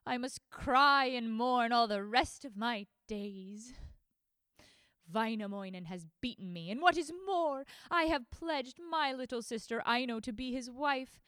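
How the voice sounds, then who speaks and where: Sorrowful young man, lamenting, a bit raised in pitch; woman reader voicing him. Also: animated, a little slow, a bit bright, smooth, dry recording, clean studio sound. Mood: mournful. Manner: mournful, lamenting. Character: young man, defeated and grieving.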